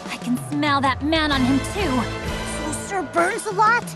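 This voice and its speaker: A woman voicing a small, excitable, grumbling sidekick in a video game, with a squeaky voice. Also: unhurried. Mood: exasperated. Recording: loud music under the voice.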